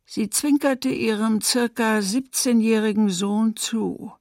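A woman's voice: on the high side, takes it slowly, a little mellow, no room sound, studio quality. A woman playing a massacre survivor giving grave, restrained testimony in a documentary radio drama. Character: woman survivor of a wartime massacre recounting her escape.

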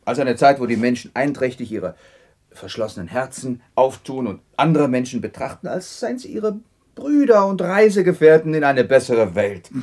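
Good-hearted nephew, animated melody, slightly hoarse timbre, friendly, eloquent, vintage radio drama. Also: male voice, mid-range voice, normal speed. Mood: earnest. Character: cheerful, warm-hearted nephew of a miser. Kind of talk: German 1960s radio play acting.

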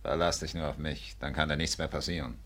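Very deep, bossy gangster. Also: male voice, steady tone, fairly quick, fairly bright tone. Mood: smooth, wary. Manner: gruff, commanding. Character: bossy, menacing male gang boss, short-tempered. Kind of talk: 1950s German radio play acting.

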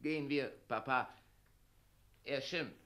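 A glib, opportunistic mayor's son in classic radio drama. He has a bright, sharp voice at an ordinary male pitch and a self-excusing manner. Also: slow. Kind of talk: classic German radio play acting.

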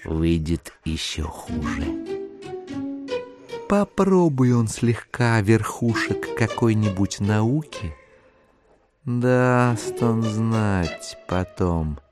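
A man's voice: deep voice, full character voice. An actor playing a brooding, cynical man in a scornful, ruminating monologue from a Russian literary audio drama. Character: brooding, cynical man muttering scornful asides about misers.

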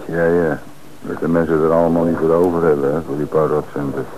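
An actor playing an observant male police detective who talks quietly in an old radio play. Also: rumbling low, flat delivery, fairly quick, lo-fi sound, a little hiss or hum. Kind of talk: radio drama acting.

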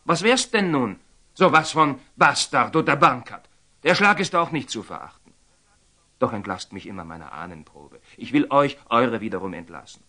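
An actor playing a proud, tormented young Knight Templar in a classical German radio drama, impulsive and declamatory, moving between muttering and outbursts. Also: male voice, mid-range voice, animated, moderate pace, pushed and bright. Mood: bitter, heated. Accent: standard German.

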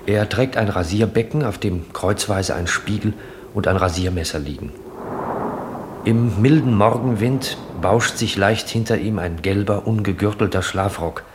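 A man reading literary narration for a 1960s German radio drama in a measured, descriptive manner. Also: lowish voice, slight background noise.

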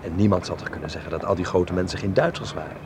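An actor playing an earnest, kindly young Dutch filmmaker in a radio drama, speaking in a courteous, reflective, sincere way. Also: male voice, sits low, fast, noisy background. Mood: consoling. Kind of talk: radio drama acting with letter narration.

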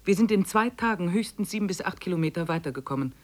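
Actress as a measured expedition diarist, woman. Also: lowish voice, lively intonation, close to an everyday voice. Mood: sober, matter-of-fact. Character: weary woman trekker narrating her expedition diary. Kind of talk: scripted diary narration in a 1950s radio play.

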